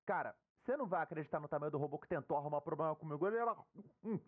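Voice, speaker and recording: A man voicing a cocky, excitable male hedgehog hero in a cartoon fan dub, brash and casual, with a Brazilian accent. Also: a little high, very fast. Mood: excited bragging. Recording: tinny, phone-like, distant sound.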